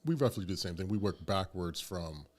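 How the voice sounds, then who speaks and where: A man's voice: low voice, lively intonation, fairly quick. A man co-hosting a barbecue podcast, laid-back and joking in casual chat. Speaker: podcast co-host.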